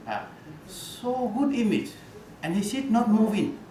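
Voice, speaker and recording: Monk telling a story in halting English. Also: male voice, sits high, expressive, dark tone. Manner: halting non-fluent English, many "uh" fillers and restarts. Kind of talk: storytelling dharma talk. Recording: roomy echo, faint background noise.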